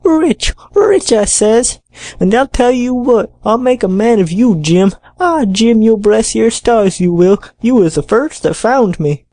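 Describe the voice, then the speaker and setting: A woman voicing a ragged, half-crazed male castaway with a hoarse, awkward, drawling voice, reading an audiobook. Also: medium pitch, expressive, a little slow, dark tone, dry recording. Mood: urgent. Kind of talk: audiobook character voice.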